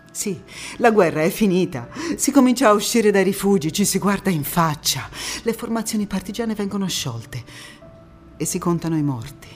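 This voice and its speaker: A young woman partisan telling her war in a low-pitched voice, grieving yet resolute. The delivery is a theatrical monologue, intense and deliberate, with dramatic pauses and repeated words. Faint music sits behind her. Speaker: stage actress in a monologue.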